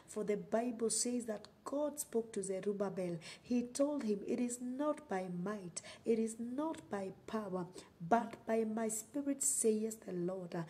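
A woman's voice: medium pitch, mellow. A middle-aged woman with a Kenyan accent preaching and praying on a livestream, emphatic and repeating phrases for effect. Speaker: online preacher. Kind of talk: sermon and prayer on a livestream.

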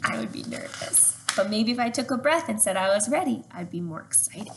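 A young woman doing an expressive read-aloud for children, with chatty questions to her listeners. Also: mid-range voice, brisk. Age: young adult. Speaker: storyteller reading to children. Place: open living room at home. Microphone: webcam at arm's length. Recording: slightly noisy home webcam recording.

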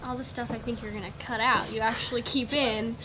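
A woman's voice: on the high side, fairly even intonation, brisk, noisy background. A young woman co-hosting a vlog, teasing and laughing with her friend, in an indoor office lounge. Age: young adult. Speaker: co-host.

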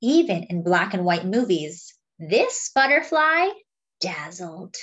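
A woman reading a picture book aloud, with expressive, dramatic phrasing. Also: lowish voice, slow pace. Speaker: storybook reader.

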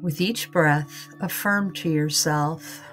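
Female meditation guide, slightly low voice, very unhurried scripted read, soothing and calm. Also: soft background music.

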